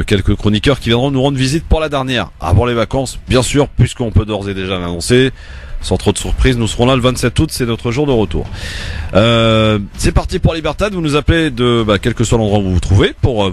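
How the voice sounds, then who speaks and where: A man hosting a radio call-in show, chatting easily with many fillers into a studio mic. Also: fairly low pitch.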